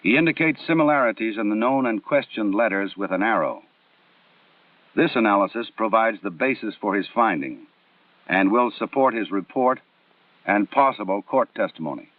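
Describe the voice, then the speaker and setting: An American man delivering formal, scripted training film narration in a measured, instructional way with precise enunciation, on a 1960s film soundtrack. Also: lowish voice, expressive, dry recording, far from the mic. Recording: muffled narrow-band 1960s film soundtrack.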